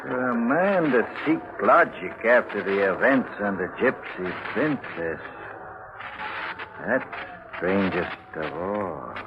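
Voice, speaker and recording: An ominous, knowing stranger in an old radio thriller. His voice is lowish and very unhurried, cryptic in manner, on a muffled vintage recording. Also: animated, noisy background. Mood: ominous, calm. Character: mysterious, all-knowing stranger questioning a survivor. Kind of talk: 1940s radio mystery acting.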